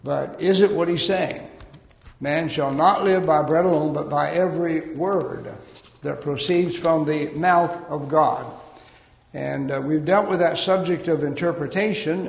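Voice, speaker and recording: Man, preacher, sermon, gravelly voice, measured with fillers, long hall echo. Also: a little high. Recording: echoey hall.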